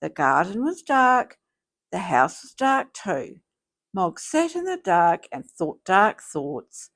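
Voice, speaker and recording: Slow, very animated woman reading a children's story. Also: medium pitch. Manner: slow, theatrical, deliberate phrasing. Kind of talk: expressive scripted storybook read-aloud.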